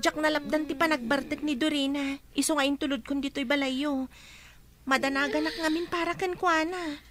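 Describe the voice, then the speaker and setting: A woman's voice: high-pitched, fairly even intonation, moderate pace. A woman playing a concerned, loyal young friend in a Filipino radio drama. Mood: apologetic. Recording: loud crowd behind the voice.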